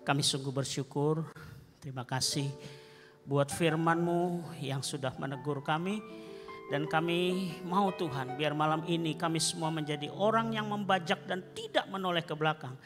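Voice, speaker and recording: A man with a high voice leads the closing prayer in a solemn cadence. Instrumental music plays behind him, and a long hall reverb follows his words. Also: normal speed. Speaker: worship leader closing the service.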